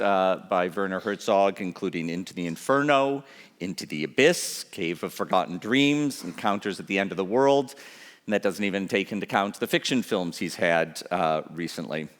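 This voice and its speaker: Halting, lowish-voiced man in an echoey hall. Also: fairly bright tone. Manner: halting, frequent ums and uhs. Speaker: festival documentary programmer introducing a guest. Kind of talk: unscripted spoken introduction on stage. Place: large studio auditorium, on stage. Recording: echoey hall with long reverb.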